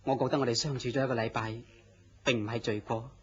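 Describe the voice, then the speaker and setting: An actor playing a gentle, melancholy man in love, speaking earnestly and theatrically in Cantonese radio drama style. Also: mid-range voice, fairly even intonation, fast, lightly acted. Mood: melancholy, lovestruck. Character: lovestruck, gentle, self-deprecating melancholy man. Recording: dull lo-fi audio with noise.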